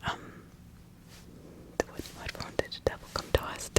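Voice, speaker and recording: A woman's voice: moderate pace, lightly acted. A woman playing a scared, flustered poor farmer accused of witchcraft, stammering and evasive in a whispered ASMR roleplay. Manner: hesitant, stammering, evasive, pleading.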